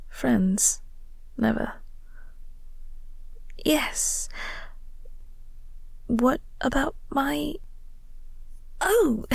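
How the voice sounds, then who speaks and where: A woman playing a flirty, teasing vampire office co-worker in an intimate audio roleplay, speaking softly and breathily with a coy, unhurried manner. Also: a little high, no room sound, heavily acted. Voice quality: soft, breathy, whispery. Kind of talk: intimate audio roleplay, whispered ASMR-like acting.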